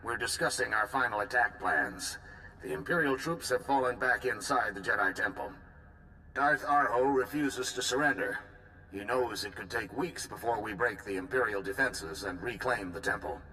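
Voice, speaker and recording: An actor playing a blunt male supreme commander in a video game, brisk and authoritative. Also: on the low side, animated, sharp tone, slight background noise, rough recording. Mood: commanding. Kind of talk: game voice acting.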